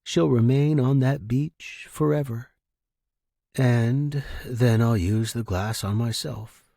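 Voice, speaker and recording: A man narrating first-person fiction in a measured, intimate and dramatic way, with deliberate, hushed phrasing. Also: medium pitch, creaky, no room sound, studio quality.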